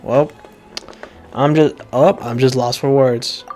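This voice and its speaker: Guy streaming a game, chill reaction talk, slangy, stops n starts, medium pitch. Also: fairly even intonation, darkish tone, soft background music. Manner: slangy, halting. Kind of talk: casual reaction commentary.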